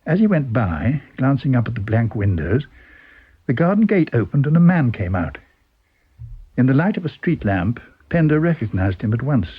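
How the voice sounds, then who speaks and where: British male narrator, scripted story reading, husky, highly varied pitch, slightly muffled radio sound. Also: very animated, normal speed. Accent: British English. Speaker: audiobook reader.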